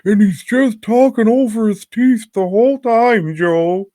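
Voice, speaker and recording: A man's voice: sits high, measured pace, warm tone, dry recording, studio quality. A man hosting a casual movie review podcast, talking in a crude, slangy, rambling way with plenty of swearing.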